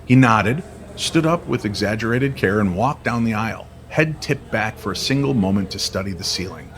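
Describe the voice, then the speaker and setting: A man reading scripted story narration in an old-time radio style, measured and dramatic. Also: lowish voice, animated, a bit bright. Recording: music bed under the voice. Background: music.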